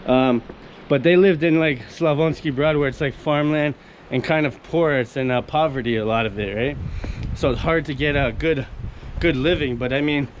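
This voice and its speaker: A young man from Canada rambles enthusiastically on camera as he walks along outdoors, full of fillers and restarts. His voice sits a little high for a man. Faint wind and passing traffic hiss under the handheld camera recording. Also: normal speed, poor audio. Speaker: vlogger. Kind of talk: casual walk-and-talk vlog. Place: outdoors on a coastal town street.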